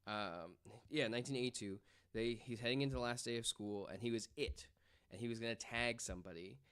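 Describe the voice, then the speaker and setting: A man's voice: medium pitch, brisk, fairly bright tone, clean tone. A man telling a story casually as a podcast guest, in loose, informal wording.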